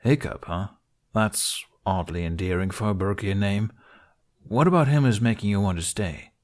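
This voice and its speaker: Man playing an exasperated male guardian, fairly low, gruff, sardonic, protective, storybook character. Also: normal speed, darkish tone, close to an everyday voice. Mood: curious.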